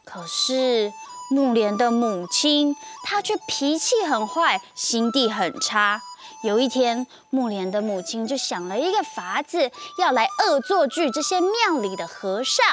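A young woman with a Taiwanese accent hosts children's story time. Her voice is high and very animated, with dramatic delivery. Music plays in the background. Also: measured pace. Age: young adult. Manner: expressive, dramatic. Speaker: children's show host. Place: TV studio set.

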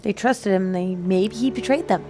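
A woman's voice: medium pitch, moderate pace, warm tone. A woman co-hosting a reaction video, talking casually over game cutscenes. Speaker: reaction show co-host. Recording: game music behind the talk.

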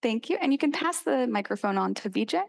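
A woman's voice: a little high, lively intonation, fast talker, creaky. A young woman hosting a stage event, welcoming and directing speakers. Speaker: event host.

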